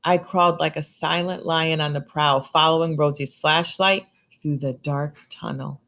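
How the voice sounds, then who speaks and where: A woman reading a children's picture book aloud in an expressive storytelling style. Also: low-pitched, a little slow. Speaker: storybook reader.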